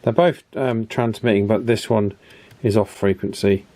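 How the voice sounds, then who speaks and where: A man giving a casual hands-on repair demonstration as host, unhurried and thinking aloud through each step. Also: on the low side, fairly even intonation, mellow, no room sound. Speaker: host of a radio repair channel.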